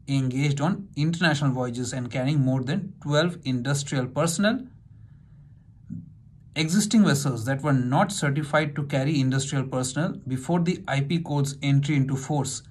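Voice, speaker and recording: A man in his forties, a maritime lecturer, explaining an exam topic in a steady, instructive way with occasional "uh" fillers, recorded close to a webcam in a small office. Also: a little high. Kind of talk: explanatory exam-prep lesson.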